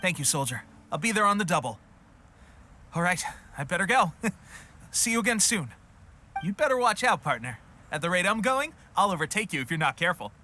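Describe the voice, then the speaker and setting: A man's voice: high-pitched, sing-song, a little fast, sharp tone, exaggerated voice. A man playing an eager, boastful, friendly young soldier in a video game. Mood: cocky. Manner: boastful, enthusiastic, jaunty. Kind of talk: animated video game voice acting. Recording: music behind the voice.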